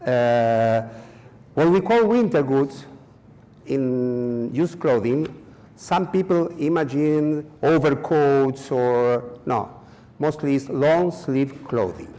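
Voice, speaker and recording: A man's voice: mid-range voice, lively intonation, dark tone. Man speaking hesitant in a hall. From Chile. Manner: halting non-native English with many fillers and repetitions. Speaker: conference speaker. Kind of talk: conference presentation. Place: conference hall. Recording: long reverb of a hall.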